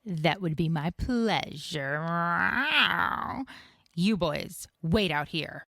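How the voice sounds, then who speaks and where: A woman's voice: deep voice, extremely slow, no room sound, studio quality, heavily acted. A woman voicing a glamorous, dangerous villainess in an audio drama, haughty and taunting. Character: glamorous femme fatale villainess, imperious, mocking, dangerous.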